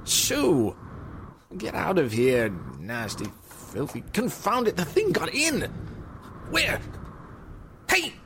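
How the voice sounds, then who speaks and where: A man acting a nervous, sleepless gentleman in a melodramatic radio drama, agitated and talking to himself in gasps and whispers. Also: lowish voice, huge pitch swings, very slow, gravelly, long pauses, background noise, exaggerated voice. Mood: panicked terror. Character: nervous, sleepless wealthy man terrorized by a bat.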